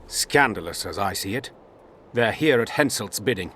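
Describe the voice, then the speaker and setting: Stern royal constable, fantasy game. Lowish voice, formal authoritative diction, slight background ambience. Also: male voice, lively intonation, normal speed, a bit bright, slight background noise. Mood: measured. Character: stern, dignified male royal constable and commander. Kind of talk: video game dialogue acting.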